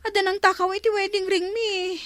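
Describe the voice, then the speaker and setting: A woman's voice: very high voice, little pitch movement, fairly quick, fairly bright tone, dry recording. A woman playing a distressed, anxious housewife in a comedy drama, speaking in a plaintive, exclaiming way. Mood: worried.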